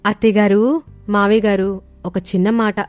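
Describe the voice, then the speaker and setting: A woman voicing a gentle, selfless eldest daughter-in-law, respectful and traditional, with a polite, pleading manner. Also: mid-range voice, little pitch movement, normal speed, no echo, lightly acted. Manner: polite, soft-hearted, pleading. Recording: studio voice over background music.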